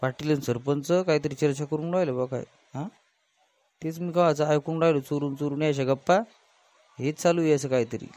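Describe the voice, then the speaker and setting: A man's voice: a little high, fairly even intonation, a little fast, a little mellow, close to an everyday voice. A man voicing a nosy, meddling cartoon villager, chatty and pushy, with a Varhadi Marathi accent. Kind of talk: comic cartoon dialogue.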